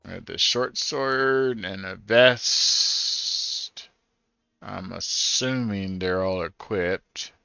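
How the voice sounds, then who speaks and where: A man's voice: low voice, extremely slow, gravelly, bright, forceful tone, clean tone, no room sound, highs cut off. A man giving casual first-time commentary on a video game, thinking aloud as he plays. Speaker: gaming streamer. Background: game music.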